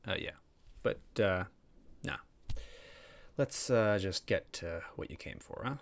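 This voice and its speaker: A man's voice: fairly low pitch, expressive, takes it slowly, vocal fry. A man hosting a story read-aloud series, talking casually and narrating in the first person. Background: background music.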